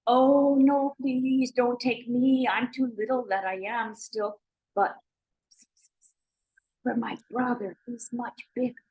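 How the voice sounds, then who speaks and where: A woman's voice: a little high, steady tone, slow pace, darkish tone, husky, voice pushed up, exaggerated voice, low-quality recording. A woman playing a medium-sized male billy goat in a storybook tale, polite and nervous, singsong and pleading.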